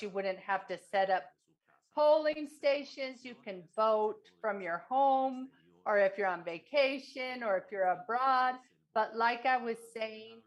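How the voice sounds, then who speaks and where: A woman interpreting a forum speech, delivering it in measured, halting short phrases. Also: medium pitch, highs cut off.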